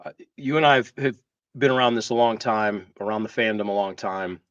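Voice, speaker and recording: A man with a fairly low voice hosts a live talk show. He speaks haltingly, with pauses as he goes. The sound is slightly dull and a bit distant from the mic. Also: fairly bright tone, clean tone. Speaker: show host. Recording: dull, roomy, distant microphone.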